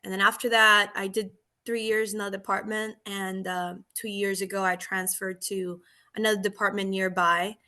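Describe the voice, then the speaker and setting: A woman from Argentina, a police officer in her thirties, telling her story in a relaxed, chatty podcast interview. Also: medium pitch, moderate pace.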